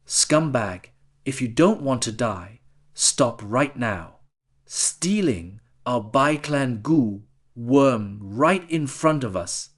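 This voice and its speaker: Casting: angry male clan fighter played by a man, lowish voice, slow menacing delivery, fantasy audiobook. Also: lively intonation. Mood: threatening. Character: outraged male clan warrior, indignant and threatening.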